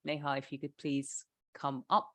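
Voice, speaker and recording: A woman moderating a formal event, making introductions in a polite, somewhat halting way. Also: very deep voice, completely flat delivery, a bit bright, slight background noise.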